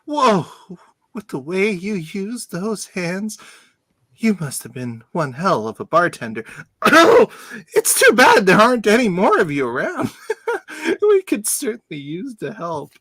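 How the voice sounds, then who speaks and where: A performer playing an eager, flirtatious starship engineer in a fan radio play, casual, teasing and excitable. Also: medium pitch, a little slow, breathy edge, no room sound, exaggerated voice. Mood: excitable, joking.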